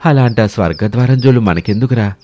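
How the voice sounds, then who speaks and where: A man's voice: medium pitch, fast, mellow, natural voice. A man voicing a timid, doubting village companion in a story, rustic and cautious in manner. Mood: worried, doubting. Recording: music bed behind the voice.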